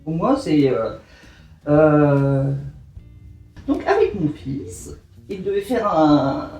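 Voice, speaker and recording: Lively, animated middle-aged woman. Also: deep voice, drawn-out words, reverberant, recorded from a distance, soft background music. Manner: lively, laughing, self-assured. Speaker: guest, dominant partner. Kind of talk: casual chat.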